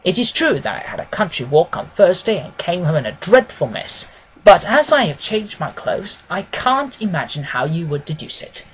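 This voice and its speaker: Male reader as mild storybook doctor, earnest, formal, puzzled, expressive pitch, slightly husky. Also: moderate pace, full character voice, low-quality recording. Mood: protesting. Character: mild, puzzled gentleman doctor, the detective's loyal companion. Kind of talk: dramatised audiobook reading.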